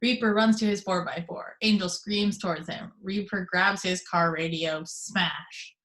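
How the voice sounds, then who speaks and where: A woman with a fairly low voice reads out the stage directions in a steady, measured way. She is heard over a video call during an at-home table read. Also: rough recording. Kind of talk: reading action description aloud.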